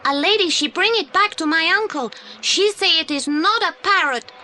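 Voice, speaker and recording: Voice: extremely high pitch, normal speed, sharp tone, a little hiss or hum, exaggerated voice. An actor playing an earnest, polite young boy with a Spanish accent and broken English in an audio play. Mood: earnest, eager. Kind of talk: 1980s audio play acting.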